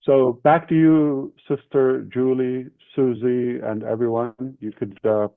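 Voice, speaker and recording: A man's voice: medium pitch, level tone, a little slow, dry recording. A man leading an online session, giving an emphatic motivational business talk in short declarative phrases. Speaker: leader and main speaker of an online group session. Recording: thin phone-like call audio.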